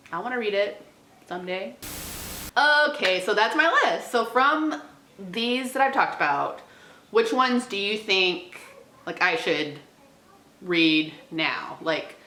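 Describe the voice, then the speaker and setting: A woman's voice: fairly low pitch, sharp tone. A woman book vlogger chatting casually to the camera in an informal, slangy, hedging way. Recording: roomy indoor echo.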